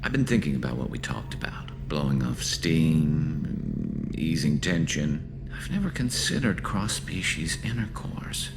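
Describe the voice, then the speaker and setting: A man voicing a loyal alien soldier in a video game, gravelly, halting and self-deprecating with dry, nervous humour. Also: a bass voice, very animated, full character voice. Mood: awkward, nervous. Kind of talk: video game dialogue acting.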